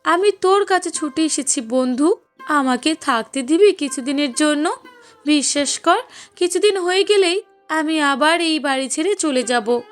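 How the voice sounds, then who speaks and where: A woman's voice: high-pitched, animated, unhurried, voice pushed up. A woman voicing a needy, touchy young female friend in a cartoon, with a plaintive, emphatic delivery. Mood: pleading. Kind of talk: cartoon dialogue acting. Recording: voice over prominent music bed. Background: music.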